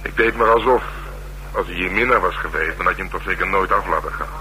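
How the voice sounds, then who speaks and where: A man's voice: sits low, quick pace, sharp tone, low-quality recording. An actor playing a world-weary, teasing male police detective in a radio crime drama, with a Netherlands Dutch accent and a dry, needling manner. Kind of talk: naturalistic radio drama dialogue. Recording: hissy, humming old radio recording.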